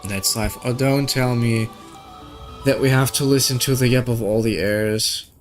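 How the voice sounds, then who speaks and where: A man's voice: mid-range voice, fairly even intonation, measured pace. A man giving casual, rambling, opinionated commentary as he streams a video game. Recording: game music behind the voice. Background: video game music.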